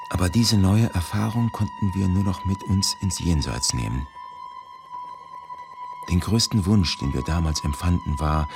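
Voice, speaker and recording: A man reading scripted documentary narration for radio in a grave, measured, deliberate manner. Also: low-pitched, vocal fry. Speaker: reader of a historical eyewitness testimony. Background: music.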